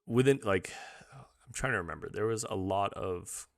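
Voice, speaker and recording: A man chatting casually as a podcast host and interviewer, talking in an informal, rambling, halting way. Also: on the low side, fairly bright tone, no room sound, studio quality. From born in England, moved to the US as a child. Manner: informal, rambling, halting, says "dude" and "like" a lot.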